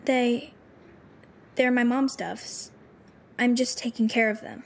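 A woman's voice: a little high, steady tone, slow, gravelly. An actress playing a timid, defensive teenage schoolgirl, hesitant and earnest, in an audio drama. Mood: nervous, apologetic.

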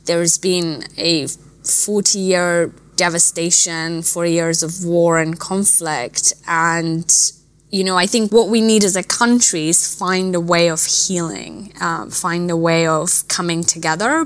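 A woman from Afghanistan, a native Dari speaker, giving earnest, reflective interview answers in a measured way with thoughtful pauses. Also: fairly low pitch, fairly bright tone. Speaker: interview guest, refugee charity director.

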